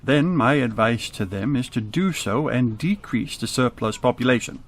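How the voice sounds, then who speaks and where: A man acts out a miserly old Victorian businessman in a radio drama. The voice sits at a middle pitch, and the delivery is curt, scolding and full of grumpy scorn. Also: expressive, normal speed. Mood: cold, dismissive.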